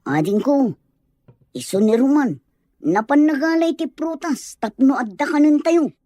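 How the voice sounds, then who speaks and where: A woman playing a practical, caring rural elder sister in an audio drama, gentle and matter-of-fact. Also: high-pitched, lively intonation, a little slow, no echo, studio quality. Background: faint music.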